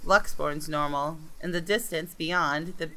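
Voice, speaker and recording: A woman narrates a visual novel in measured, dramatic phrases. Her voice sits a little low for a woman, with a crisp, very bright and forceful edge. The reading is expressive, like storytelling for a gaming video. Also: poor audio. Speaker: gaming video creator reading a game aloud.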